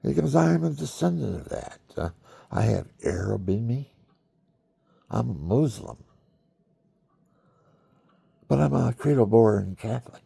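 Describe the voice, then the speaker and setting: A man's voice: mid-range voice, lively intonation, moderate pace, vocal fry, mellow, husky. An older man telling personal stories in a rambling monologue, talking straight to his viewers and acting out what others said. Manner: chatty, talks to the viewers, acts out quoted voices. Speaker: storyteller.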